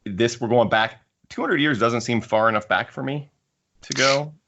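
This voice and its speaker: A man hosting a podcast, talking casually about books in a halting way with frequent restarts. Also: mid-range voice, vocal fry, fairly bright tone, dull audio. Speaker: podcast host. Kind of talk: casual discussion of sci-fi books.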